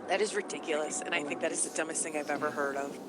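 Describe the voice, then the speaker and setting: A woman's voice: low-pitched, fairly even intonation, very fast, very noisy. A woman playing along on a game livestream, bantering casually and playfully. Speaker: livestream co-player. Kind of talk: casual banter while gaming. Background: game music.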